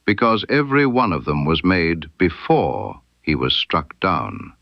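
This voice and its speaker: A man voicing a stern, incisive detective in an audiobook mystery, precise and emphatic, with dramatic pauses. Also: deep voice, very animated, vocal fry, no echo, muffled recording, exaggerated voice. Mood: grave, certain. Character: stern, incisive male detective laying out his case.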